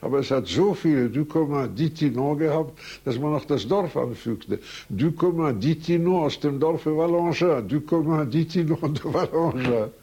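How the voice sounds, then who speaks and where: An older Swiss man whose first language is French tells his life story to an interviewer. His voice is dark and husky, and he rambles through anecdotes with many hesitations and restarts. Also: a little high, normal speed, hardly any pauses. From Switzerland. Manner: rambling, anecdotal, frequent hesitations and self-corrections. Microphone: recorded on tape.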